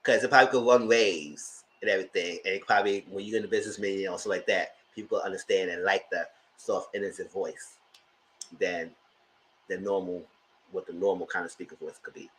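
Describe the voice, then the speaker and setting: A man with a light, high-pitched voice, chatting casually as host of a live documentary review and greeting viewers. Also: fairly even intonation, brisk, fairly bright tone, slight background noise, poor audio. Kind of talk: casual live-stream commentary.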